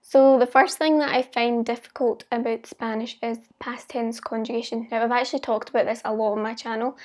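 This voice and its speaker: Young Scottish woman, high even voice. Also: brisk. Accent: mild Scottish. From Scotland. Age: young adult. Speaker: language-learning vlogger. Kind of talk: casual chat to viewers.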